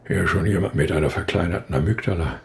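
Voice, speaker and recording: Weary, creaky-voiced injured professor. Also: male voice, low voice. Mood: stubborn, lecturing. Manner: halting, hesitant, yet insistent. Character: injured male brain research professor, bereaved, weary, stubbornly convinced. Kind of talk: German radio crime drama acting.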